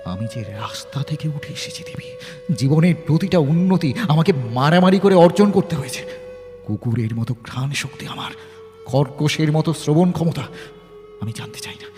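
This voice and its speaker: A man's voice: a little high, lively intonation, normal speed, natural voice. A man playing a devoted, street-bred, self-made lover, protective and urgent, in melodramatic audio drama style. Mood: tender, brooding. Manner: melodramatic, urgent, swinging from hushed to forceful. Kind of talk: Bengali audio drama acting. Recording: long hall reverb, faint music behind.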